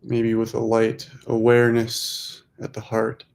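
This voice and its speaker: A man leading a guided meditation in a soothing, unhurried manner, speaking in short phrases with long pauses. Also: mid-range voice, monotone, vocal fry, a little mellow. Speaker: meditation guide, host of a weekly group.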